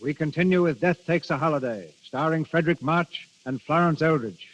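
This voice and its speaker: A man narrating a 1930s radio drama as its announcer, in a crisp, declamatory scripted style. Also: a little high, brisk. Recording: dull vintage 1930s radio recording. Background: music.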